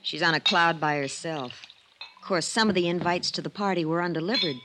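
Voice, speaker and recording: An actress playing a brittle, tough magazine writer in an old-time radio drama, sardonic, slangy and sly. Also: female voice, on the low side, fairly quick, pushed and bright, a little hiss or hum.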